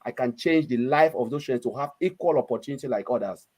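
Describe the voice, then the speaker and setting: A man's voice: mid-range voice, fast, a little mellow. A man from Cameroon with a Cameroonian accent, talking casually and earnestly as a panelist, repeating words for emphasis. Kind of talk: casual, unscripted discussion.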